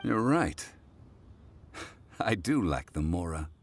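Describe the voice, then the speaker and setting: An actor playing a calm, ancient god in mortal form for a video game, formal, measured and dignified. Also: male voice, low-pitched, slight background noise, heavily acted. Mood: reflective.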